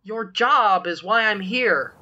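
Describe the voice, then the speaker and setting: A woman's voice: mid-range voice, slow pace, bright, forceful tone, highs cut off, a little hiss or hum. A woman voicing an earnest, defensive young pony magician sibling in a cartoon comic dub. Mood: earnest, hesitant.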